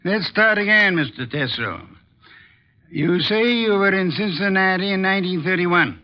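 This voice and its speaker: An actor playing a shrewd, imperious private detective with formal, deliberate speech in an old radio drama. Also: male voice, sits high, animated. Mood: blunt, pressing. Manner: formal, deliberate, precise questioning. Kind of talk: 1940s-50s radio detective drama acting. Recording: dull old radio broadcast recording.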